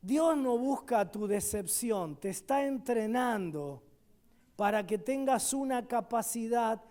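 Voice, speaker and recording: An Argentine man preaching at a church service with a very high voice. He takes his time, letting each phrase land, and swings from loud emphatic declarations to quiet asides. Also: lively intonation, slow. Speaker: guest pastor. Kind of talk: sermon.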